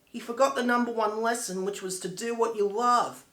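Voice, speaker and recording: A man's voice: extremely high pitch, steady tone, moderate pace, fairly bright tone. A man playing a grieving, disappointed friend in an earnest, reflective dramatic monologue. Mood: sorrowful, disappointed. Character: grieving friend who believed in a failed musician. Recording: echoey room.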